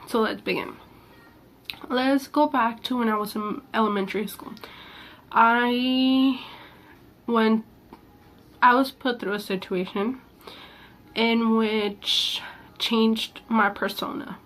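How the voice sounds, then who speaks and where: A woman's voice: fairly high pitch, fairly even intonation, fairly bright tone. Hesitant young woman, a bit sick. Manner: hesitant, halting, many fillers and restarts; candid and earnest. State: sick, voice a little off. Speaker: vlogger. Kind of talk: personal confessional vlog.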